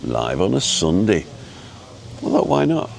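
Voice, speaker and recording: A man with an easygoing, chatty and halting way of talking, casually rambling as he hosts a live vlog. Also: fairly low pitch, huge pitch swings, creaky, a little mellow. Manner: easygoing, chatty, halting as he reads and answers viewer comments. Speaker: live-streaming vlogger and host. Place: outdoors at a restaurant overlooking the road and ocean.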